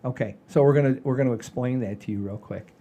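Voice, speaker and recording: A man's voice: mid-range voice, fast, warm tone. A man in his sixties hosting a casual comedy chat show in a folksy, rambling, enthusiastic way. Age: 60s. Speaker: podcast host.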